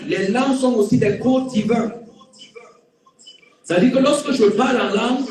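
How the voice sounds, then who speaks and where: A high-pitched man delivers a slow, deliberate sermon, restating his points for emphasis and rising and falling in force. His voice carries from a distance with heavy room echo. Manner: repeats key phrases for emphasis, swings between quiet and loud. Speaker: pastor and preacher. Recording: very echoey room, distant mic.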